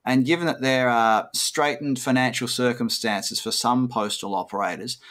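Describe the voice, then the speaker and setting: A man in his fifties interviewing a guest, putting questions in a measured, conversational way. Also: mid-range voice, a bit bright. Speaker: podcast interviewer. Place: bright room, indoors.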